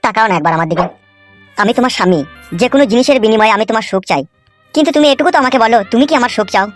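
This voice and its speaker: A man voicing a lovesick, foul-mouthed young husband in a comedy cartoon, high-pitched and melodramatic, pleading and grumbling. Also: expressive, racing. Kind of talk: amateur comedy cartoon dubbing. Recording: music under the voice.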